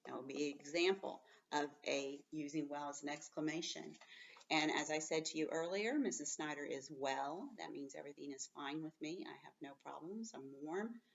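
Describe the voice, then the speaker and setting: A woman's voice: sits low, normal speed, vocal fry. A woman schoolteacher giving a read-aloud lesson to children, explaining things in simple words and commenting as she goes. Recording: dull sound, distant from the mic.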